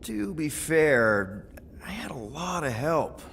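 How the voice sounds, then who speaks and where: A man's voice: a little high, sing-song, takes it slowly, vocal fry, fairly bright tone, a little hiss or hum, heavily acted. A man playing a casual, wry young space-outlaw team leader in a video game cutscene. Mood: wry, sceptical. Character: wisecracking young human male space-outlaw team leader, laid-back.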